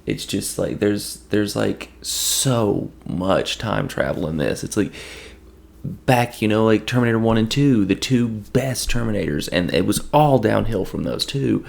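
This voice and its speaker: Hoarse, gravelly man rambling. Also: on the low side, unhurried. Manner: rambling, with frequent restarts. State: hoarse from allergies. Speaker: podcast host. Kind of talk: casual movie review.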